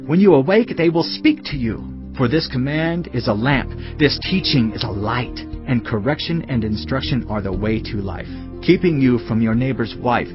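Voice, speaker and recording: A man giving a dramatised Bible narration as a solemn, admonishing fatherly teacher. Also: medium pitch. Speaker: scripture narrator. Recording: slightly muffled, music bed behind. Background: background music.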